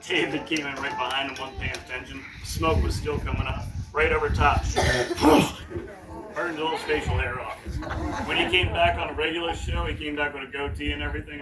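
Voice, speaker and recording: A folksy man jokes and tells stories to a live audience at a fan event, relaxed and easygoing. His voice sits a little high. He is recorded from far off in an echoey room with steady noise behind him. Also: fairly quick. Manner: folksy, jokey, laid-back. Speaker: TV show co-host at fan Q&A. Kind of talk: casual storytelling to a live audience. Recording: echoey, distant, with background noise.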